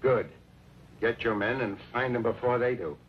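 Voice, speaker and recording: An actor playing a vengeful, bullying outlaw boss in an old western radio drama, curt and commanding. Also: male voice, medium pitch, normal speed, slight background noise, natural voice. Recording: muffled old radio recording.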